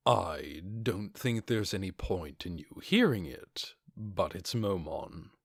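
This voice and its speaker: A man voicing a calm, coldly confident armored adventurer with a measured, quietly mocking way of talking, for a fantasy audiobook. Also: low-pitched, no echo, clean studio sound, voice pushed down, exaggerated voice. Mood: probing, cold.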